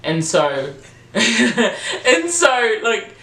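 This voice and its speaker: A woman's voice: on the high side, very animated, extremely slow, a bit bright. A young British woman in her twenties from England, chatting casually and animatedly with lots of fillers. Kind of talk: casual chat. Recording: roomy echo.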